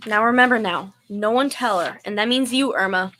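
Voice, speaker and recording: A woman's voice: on the high side, fairly quick, bright, forceful tone, slight background noise. A young woman playing a sensible, exasperated roommate with nerves underneath, in old-time radio comedy style. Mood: exasperated, bossy.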